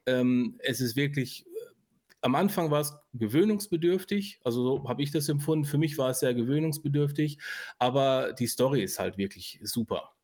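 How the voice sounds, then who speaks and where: A man's voice: mid-range voice, animated, normal speed, a little mellow. A man co-hosting a podcast, talking casually in colloquial, slangy language. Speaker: podcast co-host. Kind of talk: casual chat about audio dramas and films.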